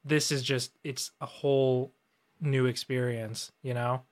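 A man's voice: mid-range voice, a little slow. Laid-back male designer chatting. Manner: relaxed, filler-heavy, says "like" a lot. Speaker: designer co-host. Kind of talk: casual chat.

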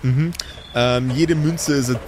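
A man's voice: mid-range voice, steady tone, slow, darkish tone, slight background noise. Man game master, casual narration.

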